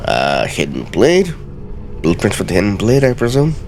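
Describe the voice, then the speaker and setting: A man giving let's play game commentary and dramatically reading in-game texts aloud in a theatrical, deliberate way. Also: on the low side, wildly swooping pitch, a little mellow, a little hiss or hum.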